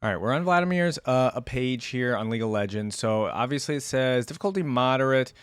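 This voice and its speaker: A man with a mid-range voice hosts in a casual, off-the-cuff way. He chats informally and thinks out loud as he reacts. The sound is dry, with no room on it. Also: mid-range voice, animated, fairly quick, smooth, dry recording. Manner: informal, chatty, thinks aloud. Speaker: host of a reaction video.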